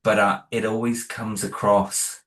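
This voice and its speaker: Low-voiced, hesitant man. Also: level tone, measured pace, a bit bright. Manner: hesitant, many restarts and self-corrections; modest, self-deprecating. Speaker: audio drama creator, interview guest. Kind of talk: casual interview conversation.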